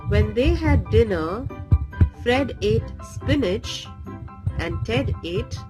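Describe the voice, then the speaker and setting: A woman reading a bedtime story aloud for young children in a deliberate, expressive way. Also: a little high, over loud music, poor audio.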